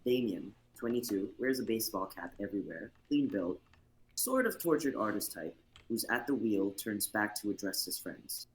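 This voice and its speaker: A man's voice: lowish voice, very animated, moderate pace, low-quality recording. A young man narrating a screenplay as host of an amateur livestreamed reading. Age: young adult.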